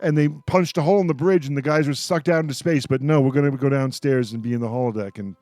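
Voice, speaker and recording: A man's voice: medium pitch, quick pace, darkish tone, no room sound. A man hosting a casual panel discussion on the radio. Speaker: radio show host. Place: radio studio.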